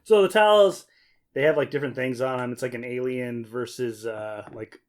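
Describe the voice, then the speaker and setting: A man's voice: medium pitch, normal speed. A man co-hosting a podcast, chatting casually and explaining things off the cuff. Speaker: podcast co-host. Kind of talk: casual unscripted banter and game explanation.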